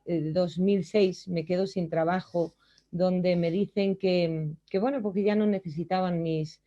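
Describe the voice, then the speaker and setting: Earnest middle-aged woman, dark low voice. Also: dull audio. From Germany. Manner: earnest, reflective, with many hesitations. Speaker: entrepreneur telling her story. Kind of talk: personal testimony.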